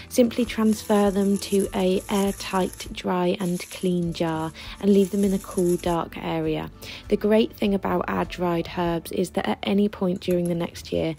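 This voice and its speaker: A young woman from England, hosting a foraging and cooking video with bright, enthusiastic, gently playful how-to narration. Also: medium pitch, creaky, poor audio. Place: woodland outdoors, then wooden cabin kitchen.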